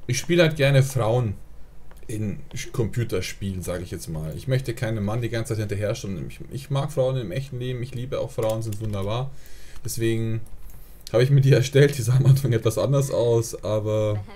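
Man, mellow warm voice, rambling livestream chatter, desk mic at home. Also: lowish voice, normal speed, a little hiss or hum. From Germany. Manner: chatty, rambling, answers chat, frequent fillers. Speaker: live streamer. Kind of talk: casual gaming livestream chat.

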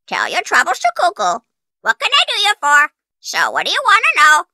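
A voice actor playing a cheeky, boastful, greedy pet parrot in a video game, squawking short chanted phrases with a shrill tone. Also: huge pitch swings, a little fast, bright, forceful tone, no room sound, clean studio sound, heavily acted. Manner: chanting short phrases in repeats, bossy and bragging. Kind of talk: cartoonish video game character acting.

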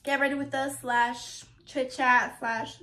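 Young woman, slightly sick, high voice. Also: little pitch movement, slow pace, pushed and bright. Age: 20s. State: slightly sick, voice a little deep. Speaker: YouTuber. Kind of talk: casual chat. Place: bedroom. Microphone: phone camera.

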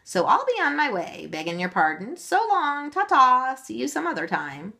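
A woman's voice: sits high, wildly swooping pitch, normal speed, gravelly, bright, forceful tone, pitched above the performer's own voice, heavily acted. A woman voicing a crafty, grumpy old male leprechaun cobbler with a lilt, full of blarney. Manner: blarney-laden, stubborn, griping.

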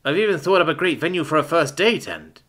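A man voicing a huge, boisterous young man who talks loudly and eagerly and jokes crudely. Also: fairly high pitch, sing-song, quick pace, voice pushed up. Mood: excited. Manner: loud, eager, crude joking.

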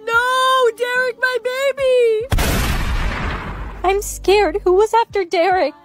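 A woman's voice: high voice, moderate pace, music in the background, lightly acted. A woman acting dramatic characters such as a mother in a skit. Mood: panicked.

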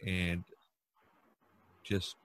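An older man hosting a podcast, chatting casually in a halting, folksy way. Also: fairly bright tone. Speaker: podcast host. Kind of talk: casual chat and podcast sign-off.

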